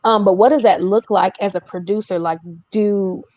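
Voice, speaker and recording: A woman's voice: medium pitch, fairly even intonation, normal speed, darkish tone, no echo. Woman, interview host, casual chatty delivery, emphatic storyteller, mid-range voice.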